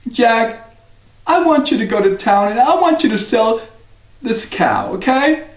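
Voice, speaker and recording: A man's voice: very high voice, expressive, a little slow, far from the mic, voice pushed up. A man voicing a poor, worried mother who frets and pleads. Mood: pleading. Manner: pleading, fretful. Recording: echoey room, voice off-mic.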